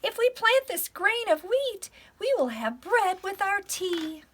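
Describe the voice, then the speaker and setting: A woman's voice: very high voice, big pitch swings, slow pace, a bit bright, pitched above the performer's own voice, full character voice. A woman voicing an eager little red hen from a children's storybook, squawky and clucking. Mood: eager, calling out. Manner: exclaiming, sighing.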